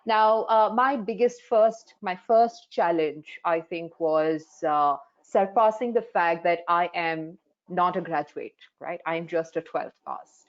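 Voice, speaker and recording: A woman's voice: on the low side, slow, lo-fi sound. A woman in her forties from India, whose first language is Hindi, telling her career story frankly, with many fillers, on a webinar panel. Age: 40s. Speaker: life coach. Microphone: video call.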